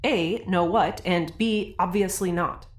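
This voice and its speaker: A woman's voice: lowish voice, slow. A woman playing a chatty, naive young mutineer in a science fiction audio drama, rambling cheerfully and informally. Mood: baffled. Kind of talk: audio drama acting, conversational.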